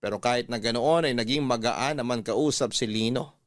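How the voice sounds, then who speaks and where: A man's voice: medium pitch, a little fast, clean studio sound. Male storyteller with echoing voice. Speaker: radio drama narrator. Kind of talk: scripted first-person story narration.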